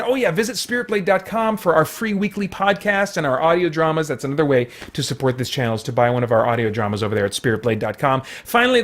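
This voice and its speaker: Male video reviewer, slightly high voice, earnest and conversational, thinking aloud. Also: fairly bright tone. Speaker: movie reviewer and channel host. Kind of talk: casual video movie review.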